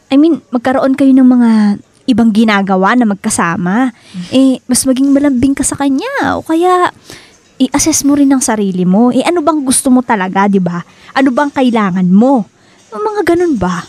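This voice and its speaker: A woman acting a teasing, frank young best friend in a radio drama, playful and expressive, with a Filipino accent. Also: high voice, moderate pace, dry recording. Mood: concerned, prodding.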